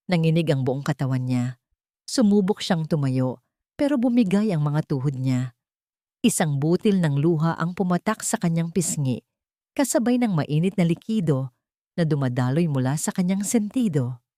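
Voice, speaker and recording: A woman's voice: low voice, warm tone, no room sound, studio quality. A woman with a Filipino accent reading scripted drama as a storyteller, in an emotive, suspenseful manner. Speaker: story narrator. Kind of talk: dramatic scripted narration of a Tagalog romance drama.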